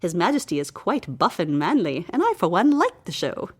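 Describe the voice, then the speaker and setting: A woman's voice: lowish voice, sing-song, moderate pace, breathy edge. A woman voicing a storybook mother who is frank and admiring as she corrects her child.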